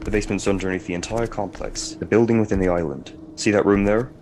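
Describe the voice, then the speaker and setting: A man playing a gruff, sceptical prison warden in an audio drama, dry, plain-spoken and commanding. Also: lowish voice, fairly even intonation, quick pace, a little mellow, slight background noise, close to an everyday voice. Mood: explaining.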